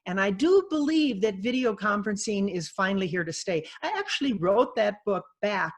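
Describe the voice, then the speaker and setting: A woman author speaking as a guest on a video call, chatty and animated, laughing often. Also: medium pitch, normal speed. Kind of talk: video call interview, conversational.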